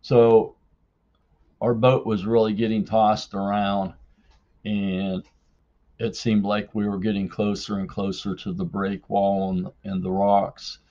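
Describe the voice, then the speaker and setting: A man telling a personal story casually in an unhurried, folksy way, as in a listener's voicemail. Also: lowish voice, level tone, warm tone. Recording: dull, lo-fi voicemail-like sound.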